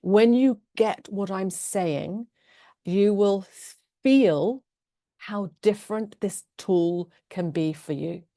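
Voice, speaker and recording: A woman with a British accent, Norwegian her first language, teaching a live online love-coaching class in an earnest, gentle way. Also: medium pitch, slow pace, no room sound, clean studio sound. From England.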